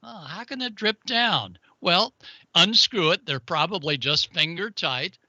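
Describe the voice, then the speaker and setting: A man's voice: high-pitched, animated, unhurried, bright, forceful tone, no echo, dull audio. A man presenting an informal technical explainer in a folksy way, with playful asides and exclamations. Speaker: amateur radio show segment presenter.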